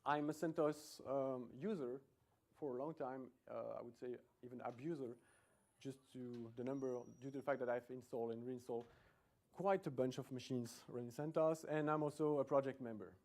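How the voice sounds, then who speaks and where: A man's voice: medium pitch, steady tone, normal speed, darkish tone. A middle-aged man from Belgium with a Belgian accent, giving a chatty, self-deprecating conference talk in a lecture room, often restarting his sentences. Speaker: conference speaker.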